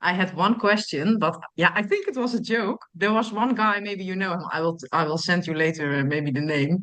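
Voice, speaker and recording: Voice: mid-range voice, fairly bright tone. Hesitant host. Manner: hesitant, halting phrasing. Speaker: podcast host. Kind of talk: casual interview chat.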